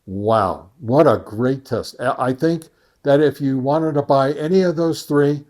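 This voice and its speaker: A man presents test results as a reviewer in a casual, conversational style. He speaks very slowly at a middling pitch, often halting to think aloud. Folksy asides break up his delivery. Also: a little mellow. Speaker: amateur radio gear reviewer and host. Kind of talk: casual product test summary.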